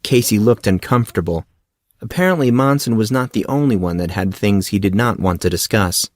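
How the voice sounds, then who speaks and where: A man's voice: fairly low pitch, fairly quick. A man reading scripted fiction as an audiobook narrator.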